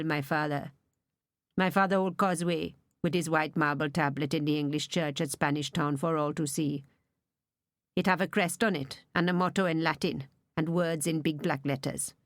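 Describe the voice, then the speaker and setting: A woman's voice: low voice, fairly even intonation, moderate pace, no echo, studio quality. A woman voicing a bitter, resentful middle-aged man, brooding and scornful like a preacher, in an audiobook reading.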